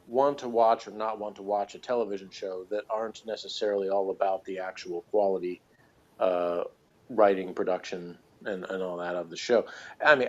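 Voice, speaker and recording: A man's voice: on the low side, dull audio. A man in a casual unscripted discussion as a podcast co-host, hesitant and rambling, often circling back over his phrases. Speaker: podcast co-host reviewing a TV show.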